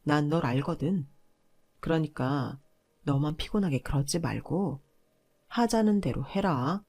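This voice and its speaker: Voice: mid-range voice, animated, unhurried, a little mellow. Narrator playing arrogant young husband, dismissive, bossy, confrontational, marriage story dialogue. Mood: smug, dismissive.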